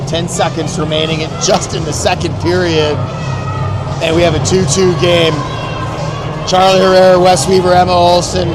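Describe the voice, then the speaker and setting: A man doing play-by-play for a youth hockey game, folksy and excitable, shouting on the big plays. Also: a little high. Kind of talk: amateur play-by-play commentary. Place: rink arena, up in the stands. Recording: loud arena crowd and noise. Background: loud crowd and rink noise.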